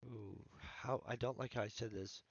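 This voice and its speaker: A man's voice: medium pitch, fairly even intonation, moderate pace, vocal fry, dry recording, muffled recording. Congested man rambling on a livestream. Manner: rambling, thinking aloud with restarts. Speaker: author streaming his writing session. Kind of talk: casual livestream chat.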